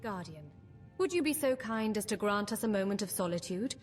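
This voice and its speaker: A woman's voice: medium pitch, expressive, brisk, lightly acted. Woman as formal, courteous female fantasy mage, music behind. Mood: guarded, earnest. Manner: formal, archaic, courteous phrasing. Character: formal, devoted female mage of a secretive college. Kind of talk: scripted fantasy game dialogue.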